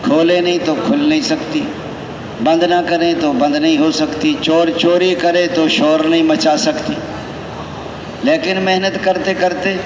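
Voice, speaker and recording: A man preaches in a Pakistani accent with a fairly high voice. He exhorts in a conversational way, asking rhetorical questions and repeating key phrases. The recording rings with long hall reverb over clear background noise. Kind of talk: Tablighi sermon with anecdotes.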